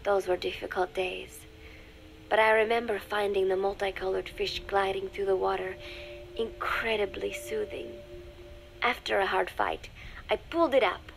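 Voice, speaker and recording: A woman voicing a young undercover spy in a video game, dictating a private diary in a measured, confiding, dryly scornful way. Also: medium pitch, steady tone, vocal fry, a little hiss or hum, close to an everyday voice, rough recording. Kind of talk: scripted dramatic diary narration for a game.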